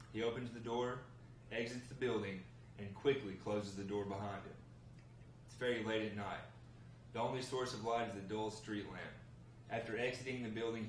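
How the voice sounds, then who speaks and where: A man reading scene descriptions and camera directions as scripted narration at a table read. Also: fairly low pitch. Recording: echoey room, distant mic, faint noise.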